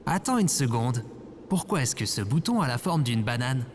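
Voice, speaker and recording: An actor playing a lazy, grumbling slacker man in his thirties, casual, slangy and deadpan, in an acted audio comedy. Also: mid-range voice, normal speed, a little hiss or hum, natural voice. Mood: deadpan disbelief.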